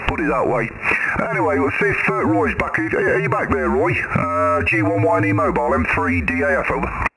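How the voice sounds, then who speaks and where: A man rambles chattily over amateur radio in a slightly high, very animated voice. It has a creaky, gravelly edge, and faint radio hiss and clicks sit behind it. His delivery is casual, like a radio operator talking with friends. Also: moderate pace.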